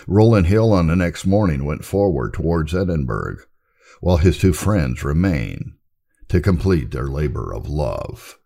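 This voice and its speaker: A man reading historical narrative aloud from a script in a measured, formal audiobook style. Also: a bass voice, big pitch swings, vocal fry, dark tone.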